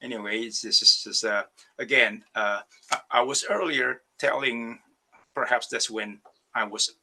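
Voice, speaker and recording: A man's voice: fairly low pitch, sharp tone, rough recording. A man from Indonesia, an artist, giving a hesitant online talk about his work over a video call, with many fillers and restarts. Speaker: ceramic artist. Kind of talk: virtual artist talk presenting slides.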